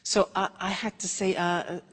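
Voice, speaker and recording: A woman presenting an English lesson to viewers, speaking in deliberate, broken-up phrases. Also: medium pitch, level tone, breathy edge, in a large hall.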